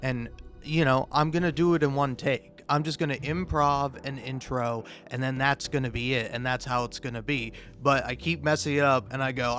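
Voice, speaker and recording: A man improvising a self-deprecating comic monologue for a gaming video, rambling and halting, often interrupting himself and swearing casually. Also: mid-range voice, a bit bright. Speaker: video game YouTuber.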